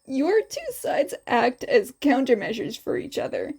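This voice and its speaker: A practical teenage boy in an audio story, read by a woman in a near-natural voice. He is confident and matter-of-fact, with a high, animated delivery. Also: unhurried, gravelly, breathy edge, full character voice. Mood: reassuring. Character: confident, practical teenage boy hero student, advising classmate.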